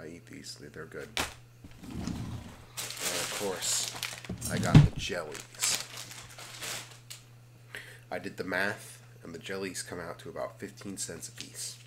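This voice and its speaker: A man hosting a casual, rambling ASMR video, slipping between vocal fry and whispering and often repeating his phrases. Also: deep voice, very noisy. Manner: alternates hushed fry and whispering.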